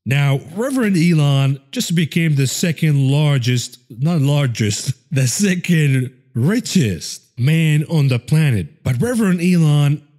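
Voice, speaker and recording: A man's voice: fairly high pitch, animated, slow, hardly any pauses, darkish tone. A man hosting a stock market show, giving informal news commentary in a sardonic, tongue-in-cheek manner.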